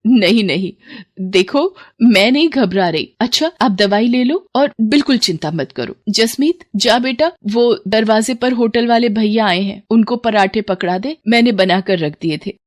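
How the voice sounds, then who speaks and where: A woman voicing a weary, devoted middle-aged wife and mother in an animated moral story, speaking in an earnest, motherly way. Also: a little high, measured pace, few pauses. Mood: reassuring.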